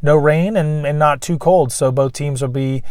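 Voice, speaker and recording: A man with a cold hosting a casual sports fan video, chatty with lots of fillers and throat clearing. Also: on the high side, moderate pace, a little mellow, no room sound, clean studio sound. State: losing his voice, getting sick. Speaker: YouTube fan channel host. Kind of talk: casual sports preview vlog.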